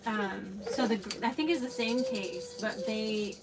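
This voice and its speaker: Woman guest, mid-pitch, rambling conversational storyteller. Noisy background. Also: a little slow, far from the mic. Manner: rambling, many "like"s. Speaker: guest, true crime video creator. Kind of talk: casual chat, storytelling.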